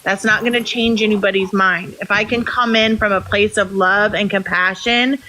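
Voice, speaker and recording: A woman speaks as a guest on a live video chat at a middling pitch. She is measured and reflective and talks in steady, thoughtful sentences. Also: noisy background. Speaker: livestream guest. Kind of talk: earnest livestream discussion.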